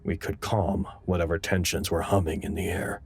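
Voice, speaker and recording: A man acting as an anxious, earnest researcher in an audio drama, giving dramatic and deliberate log reports. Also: low voice, fairly even intonation. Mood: grave, uneasy. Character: anxious, earnest male facility researcher narrating crisis logs.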